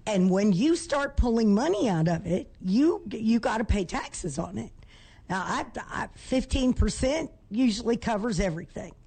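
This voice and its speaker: A woman co-hosting a radio talk show with a rough, husky voice, chatty and quick to chime in. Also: fairly high pitch. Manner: chatty, lively interjections. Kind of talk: casual talk radio banter.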